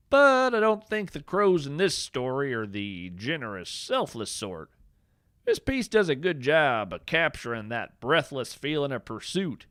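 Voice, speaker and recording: A man narrating scripted fiction as a podcast host, with a measured, deliberate and expressive storytelling delivery. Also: mid-range voice, no echo, clean studio sound.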